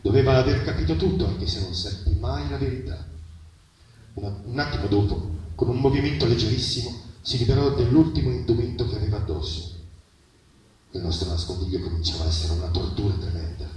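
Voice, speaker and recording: Male literary reader, heavy echo, distant microphone, expressive storytelling, measured dramatic pauses. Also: mid-range voice. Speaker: reader at a public literary reading. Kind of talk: expressive literary reading of a first-person story. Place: live reading at an outdoor festival. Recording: very echoey, distant mic, noisy outdoor ambience.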